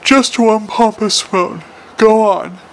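A man's voice: on the high side, moderate pace, vocal fry, fairly bright tone, a little hiss or hum, low-quality recording. Man acting a furious, ranting watch commander. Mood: seething. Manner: ranting, accusing, snapping orders.

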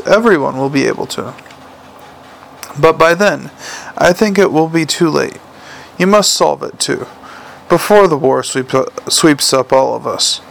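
A man reading aloud, voicing a clever, cultured male troll king who speaks quietly with a teacherly firmness. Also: a little high, creaky, full character voice, poor audio. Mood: earnest. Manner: measured, softly commanding, teacherly.

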